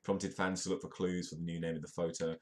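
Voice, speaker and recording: A man's voice: sits low, level tone, fast talker, a little mellow. A congested man with a British accent, hosting a comedic live news show, chatting and joking as he reads the news aloud. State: congested, stuffy nose. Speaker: host.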